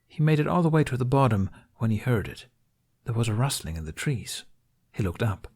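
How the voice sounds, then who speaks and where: A man reading scripted fiction aloud as an audiobook narrator, in a measured storytelling manner. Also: mid-range voice, big pitch swings, darkish tone.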